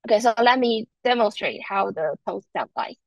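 A woman's voice: a little high, expressive, moderate pace, vocal fry, no echo. A young woman from Taiwan with a Taiwanese Mandarin accent, patiently teaching a live online Chinese lesson. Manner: patient, explains step by step. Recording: dull lo-fi online stream audio.

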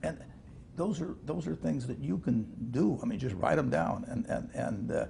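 An older man talking as an interview guest, halting with many restarts and chuckles, in a studio set like a living room. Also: fairly high pitch, sing-song, creaky, dark tone, slight background noise. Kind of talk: casual interview talk.

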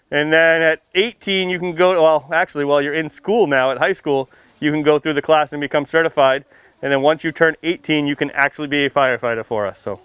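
High-pitched, clear American male firefighter. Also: fairly bright tone, dry recording. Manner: projecting, asking simple questions, folksy. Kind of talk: informal demonstration talk to young children. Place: outdoors, under a tent and beside a fire truck.

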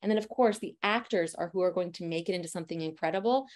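Loud, chatty woman teaching a class. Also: on the low side, fast. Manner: energetic, direct, encouraging; says she talks a lot and loudly. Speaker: family photographer teaching posing. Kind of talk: presentation lecture.

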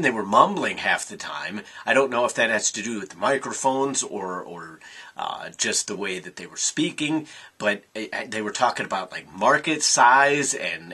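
A man in his thirties giving an animated, frustrated postmatch review from a small room into a webcam. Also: medium pitch, husky. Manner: animated, frustrated ranting with gestures. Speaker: soccer fan vlogger. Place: small room with sports posters, indoors.

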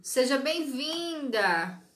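A woman's voice: high voice, lively intonation, drawn-out words, reverberant. Chatty woman hosting a craft live stream. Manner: chatty, greets viewers by name, frequent "certo?" tag questions. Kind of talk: live sewing tutorial chat. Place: small craft studio with shelves of dolls, indoors.

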